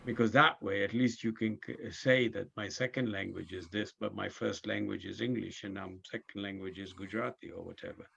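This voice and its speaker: A man with a gravelly, husky voice, asking a question in a webinar, halting and full of uhs. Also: fairly low pitch, fairly even intonation, a bit bright, dull audio. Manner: hesitant, halting, many "uh"s. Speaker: webinar participant.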